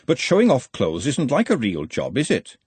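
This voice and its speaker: A man's voice: mid-range voice, huge pitch swings, moderate pace, darkish tone, husky, dry recording, voice pushed up, heavily acted. A man voicing a practical, bossy but caring working woman with a no-nonsense manner in a fantasy audiobook. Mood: sensible, protective.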